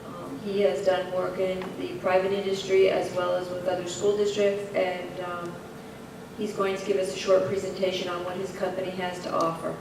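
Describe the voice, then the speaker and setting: A woman administrator making formal remarks at a meeting, speaking in a measured way with frequent "um"s. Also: on the low side, flat delivery, vocal fry. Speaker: district administrator introducing a speaker. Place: school district meeting room. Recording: echoey meeting room from far off, noisy.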